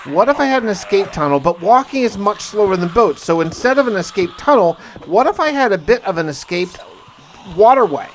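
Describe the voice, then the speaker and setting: A man's voice: high voice, sing-song, brisk, a little hiss or hum, low-quality recording. A man narrating a survival game diary in the first person, conversational and musing, with wry asides. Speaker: gaming video narrator. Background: music.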